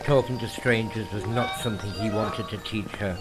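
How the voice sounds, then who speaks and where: A man narrating a dramatic horror story in a theatrical way, with deliberate pauses. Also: lowish voice, steady tone. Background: music.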